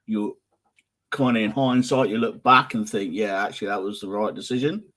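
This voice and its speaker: A man's voice: lowish voice, moderate pace, fairly bright tone. A man hosting a podcast, asking meandering questions full of fillers. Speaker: podcast host. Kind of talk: casual interview chat.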